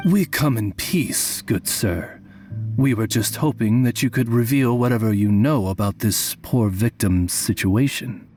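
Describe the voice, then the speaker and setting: Man voicing slow, courtly talking bird retainer. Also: medium pitch, a little mellow, lightly acted. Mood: diplomatic. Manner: formal, polite, measured butler-like diction. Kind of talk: anime video game voice acting. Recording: music behind the voice. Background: music.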